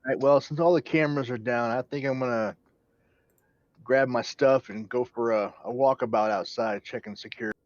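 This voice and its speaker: A man's voice: medium pitch, normal speed, no room sound. A man speaking casually in character as a player in an online roleplaying game. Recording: dull, dry online voice chat.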